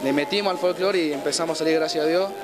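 A young Argentine man with a fairly high voice talks about his band in a relaxed, casual manner. He is being interviewed in a bar. Loud music plays behind him. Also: fast talker. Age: young adult. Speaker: band drummer.

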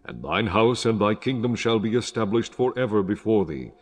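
An actor playing the solemn, commanding voice of God in a dramatized Bible reading, stately and authoritative. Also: male voice, lowish voice, big pitch swings, normal speed. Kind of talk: dramatized Bible audio acting.

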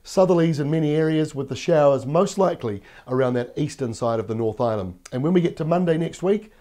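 Croaky-voiced New Zealand weatherman. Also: fairly high pitch, expressive, fairly quick, mellow. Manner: upbeat, conversational, explains while pointing at maps. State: croaky voice from a cold. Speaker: weather presenter. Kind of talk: TV weather forecast. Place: TV studio in front of a weather map screen. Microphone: lapel mic.

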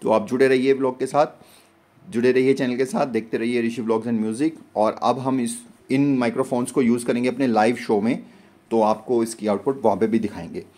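A man's voice: medium pitch, fairly even intonation, brisk, mellow. A man in his thirties with an Indian accent explains gear step by step in a casual vlog style, recorded indoors on a phone. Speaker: vlogger and musician. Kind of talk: casual product demo vlog. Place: small home studio room, indoors.

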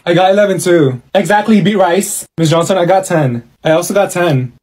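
A man's voice: high-pitched, fairly quick, darkish tone, breathy edge. A man rattling through a comedic classroom skit as several bickering, sassy characters. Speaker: sketch comedian voicing a classroom.

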